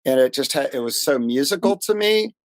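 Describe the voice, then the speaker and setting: A man's voice: mid-range voice, animated, brisk, dry recording. Enthusiastic American man, close dry sound. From United States. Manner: self-deprecating, enthusiastic, colloquial. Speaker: producer and engineer, interview guest. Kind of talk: casual chat.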